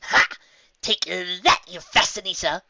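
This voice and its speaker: A woman's voice: on the low side, takes it slowly, sharp tone, no room sound, highs cut off, voice pushed up, heavily acted. A woman voicing a proud, sardonic female pony magician who mutters sarcastically and boasts. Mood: smug.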